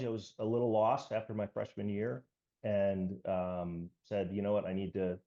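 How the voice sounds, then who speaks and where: An American man in his fifties, a podcast guest recounting his past in a genial, measured way with hesitant phrasing. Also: on the low side, dark tone. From United States. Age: 50s. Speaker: podcast guest, military veteran. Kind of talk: conversational interview, recounting. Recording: slightly dull, lo-fi audio.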